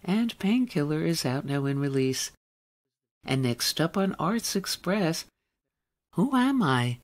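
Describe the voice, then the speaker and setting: A woman's voice: sits low, sing-song, slow. Woman hosting an arts radio show. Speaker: radio host, interviewer. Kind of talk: radio interview and scripted links.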